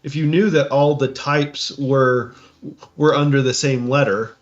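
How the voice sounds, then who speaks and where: A man with a mid-pitched voice chats casually as a podcast co-host. He talks in halting bursts and keeps seeking agreement. The audio is a little dull and lo-fi. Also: steady tone, soft background music. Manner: halting, seeks agreement with tags. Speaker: podcast co-host giving reactions. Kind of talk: casual chat.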